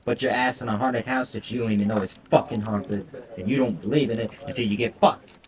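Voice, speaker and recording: A man's voice: lowish voice, steady tone, a little fast. Distant-sounding sarcastic male game streamer. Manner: crude, sarcastic, heavy swearing. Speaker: let's play streamer. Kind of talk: casual game commentary and reading aloud.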